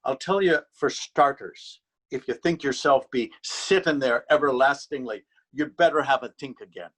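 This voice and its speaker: A man's voice: medium pitch, normal speed, fairly bright tone, natural voice. An actor in a readers theater drama playing a stern, weary policeman, admonishing and authoritative. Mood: stern, threatening. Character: stern, weary Irish male beat policeman, bullying. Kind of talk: readers theater stage acting.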